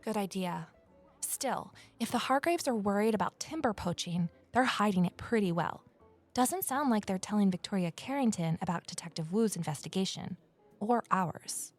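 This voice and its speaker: A woman's voice: medium pitch, lively intonation, moderate pace, soft background music, lightly acted. A woman playing an earnest, inquisitive sleuth. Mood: deducing. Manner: earnest, questioning. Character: earnest, inquisitive female amateur sleuth narrating her story. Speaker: lead character and narrator. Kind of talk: audio drama acting and first-person narration.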